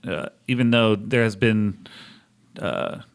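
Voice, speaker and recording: A man's voice: lowish voice, takes it slowly, clear, resonant tone. A man hosting an interview, asking long, roundabout questions in a casual conversational way. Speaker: interviewer, host.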